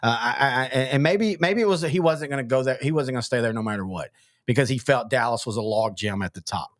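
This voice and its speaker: A man's voice: medium pitch, quick pace, no room sound, clean studio sound. A man from East Texas, hosting a casual sports podcast, rambling with stammered restarts. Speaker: podcast host.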